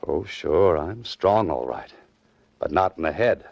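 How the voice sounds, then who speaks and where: An actor playing an exasperated, sardonic writer roped into trouble in a 1940s radio drama. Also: male voice, low voice, lively intonation, measured pace, gravelly, husky, dry recording, heavily acted. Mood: wry, resigned. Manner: dry, sardonic, protesting. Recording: dull vintage 1940s radio recording.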